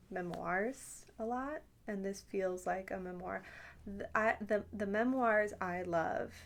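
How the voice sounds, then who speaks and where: A woman's voice: mid-range voice, vocal fry. A woman chatting casually and intimately to the listener as an ASMR host, with playful, teasing asides.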